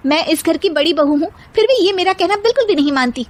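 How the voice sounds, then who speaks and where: A woman's voice: very high voice, lively intonation, quick pace, pitched above the performer's own voice. A woman voicing a proud, argumentative eldest daughter-in-law in a cartoon story, insistent and theatrical. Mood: defiant. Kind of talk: cartoon story character acting.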